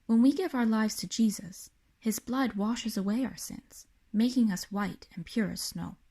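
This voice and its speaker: A kindly man who keeps a candy store , as a woman reader plays him. He speaks earnestly, with a gentle, solemn way of explaining, as in a children's storybook. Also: on the high side, a little slow.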